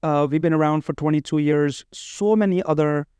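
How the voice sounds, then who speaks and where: A man's voice: a little high, normal speed, a little mellow, smooth, no room sound. A man talking casually as an interview guest, earnest and often repeating his phrases. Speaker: podcast guest, bridal expo organizer.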